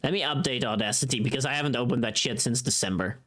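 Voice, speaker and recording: A woman's voice: rumbling low, fast talker, a bit bright. A woman livestreamer chatting casually with her viewers in a playful, teasing and bluntly informal way. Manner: playful, teasing, reacting to chat.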